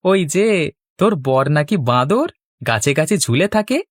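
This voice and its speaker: A man's voice: a little high, big pitch swings, measured pace, no echo, studio quality. A man voicing a flighty, childish cartoon young man in his early twenties, teasing in a whiny, mocking manner.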